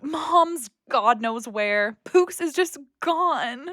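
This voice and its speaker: A young adult daughter in an audio play, blunt, sardonic and easily exasperated. Her voice is high and very animated, and she takes her time over the words. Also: very slow, no room sound, clean studio sound, heavily acted. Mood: exasperated, sarcastic. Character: exasperated, world-weary young adult daughter back home. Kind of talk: naturalistic audio play acting.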